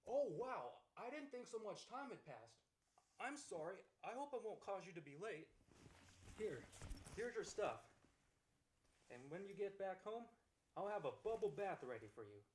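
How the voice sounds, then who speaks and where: A man's voice: high voice, sing-song, normal speed, pauses often, darkish tone, slight background noise, voice pushed up, low-quality recording. A man voicing a kind-hearted, accepting boyfriend in a romantic ASMR roleplay, speaking in a tender, earnest and reassuring way. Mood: fond, flustered. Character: kind-hearted, devoted, accepting boyfriend comforting his girlfriend.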